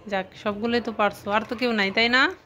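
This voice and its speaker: A woman with a bright, slightly high voice gently questions her young son in a relaxed home video. Her tone is coaxing and curious, the easy talk of a parent drawing a child out. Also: fast, slight background noise. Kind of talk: casual home video chat.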